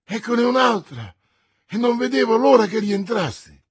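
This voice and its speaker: A man voicing an excited young male manservant who talks in eager, breathless exclamations. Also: on the high side, measured pace, husky, no room sound, studio quality, full character voice. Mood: agitated.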